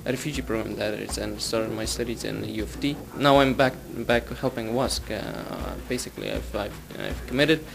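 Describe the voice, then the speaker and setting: A man from Afghanistan, a former refugee student, speaking earnestly with some halting restarts. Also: lowish voice, gravelly, background noise. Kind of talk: interview testimonial.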